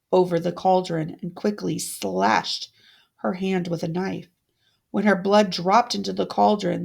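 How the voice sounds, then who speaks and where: A woman reading a fairytale aloud as a storyteller, with deliberate, dramatic expression. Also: medium pitch, gravelly, slightly rough.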